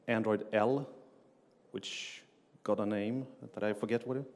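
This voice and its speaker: A man's voice: lowish voice, steady tone, a little slow, darkish tone. A middle-aged man from Sweden, giving a technical conference talk with many "uh" fillers and restarts. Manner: frequent "uh" fillers and restarts, plain explanatory delivery. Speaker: conference speaker, game engine developer. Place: conference hall on stage. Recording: long reverb of a lecture hall.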